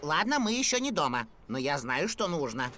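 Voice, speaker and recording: A fussy little male magic creature from a cartoon, bossing a boy around and bursting out in alarm. His voice leaps all over the scale. Cartoon music plays clearly behind. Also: deep voice, moderate pace. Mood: encouraging. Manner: bossy, coaching, excitable outbursts. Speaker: dubbing actor. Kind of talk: cartoon dub acting. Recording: music bed under dialogue.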